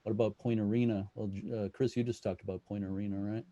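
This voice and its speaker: A man's voice: lowish voice, level tone, quick pace, mellow, lo-fi sound. A man casually moderating a question session on a video call as the host. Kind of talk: casual moderating of an online meeting.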